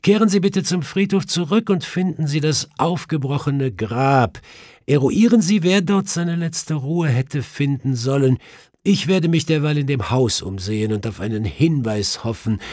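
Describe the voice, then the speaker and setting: A man's voice: a little high, animated, measured pace, a little mellow, dry recording, clean studio sound, pitched above the performer's own voice. A man voicing a commanding, self-assured detective with a clipped, superior manner in an audiobook. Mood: brisk, commanding. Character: commanding, brisk male detective, reproving and sure of himself. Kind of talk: acted audiobook dialogue.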